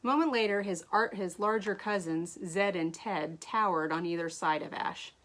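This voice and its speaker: A woman author reading her own story aloud in an animated way, now and then stumbling and rereading. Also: medium pitch, normal speed. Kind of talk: expressive read-aloud storytelling.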